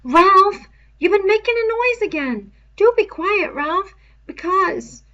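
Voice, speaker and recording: A woman's voice: very high-pitched, lively intonation, slow pace, pushed and bright, dull audio, pitched above the performer's own voice, full character voice. A woman voicing an anxious, whiny young schoolboy, pleading and indignant, in a dramatized novel read-aloud. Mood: frightened urgency. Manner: pleading, insistent, ungrammatical schoolboy speech.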